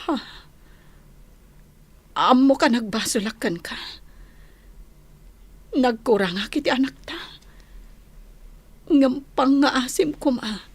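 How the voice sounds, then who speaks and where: An actress with an Ilocano accent playing a remorseful young mother who slowly pleads for forgiveness in a radio drama. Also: sits high, husky, no echo, exaggerated voice. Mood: pleading. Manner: pleading, hesitant, slow. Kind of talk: 1990s-style AM radio drama acting.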